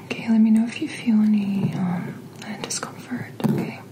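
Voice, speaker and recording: A woman speaking in a soft, breathy whisper, gently and reassuringly playing a doctor in an ASMR roleplay, close to the microphone. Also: mid-range voice, fairly even intonation, moderate pace. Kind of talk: soft-spoken ASMR medical checkup roleplay.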